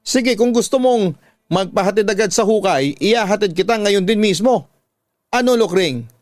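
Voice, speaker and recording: Man playing defiant grown daughter, Filipino accent, angry, threatening, confrontational, higher pitch, dry radio drama sound. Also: animated, moderate pace, no echo.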